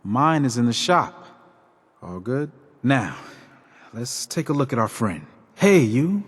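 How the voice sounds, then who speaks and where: A man playing a friendly, chatty safe-house agent in a video game, breezy and informal, with a slightly husky voice. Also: mid-range voice, very animated, takes it slowly, big-room sound, exaggerated voice. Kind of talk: video game character dialogue.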